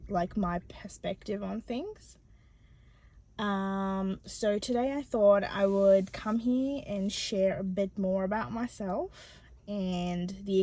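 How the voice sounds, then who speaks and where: A young woman from Bangladesh who stutters, talking personally to her viewers with many pauses and ums. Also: medium pitch, darkish tone. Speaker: channel host. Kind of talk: personal vlog talk to camera.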